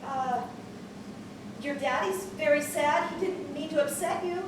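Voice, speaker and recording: A very high-pitched, indignant mother, prickly and quick to erupt. Her voice carries through a big roomy space from a distance, with steady noise underneath. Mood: soothing. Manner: sharp and clipped, erupting into screaming. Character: high-strung upscale mother, indignant and defensive. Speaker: mother on the phone to her child.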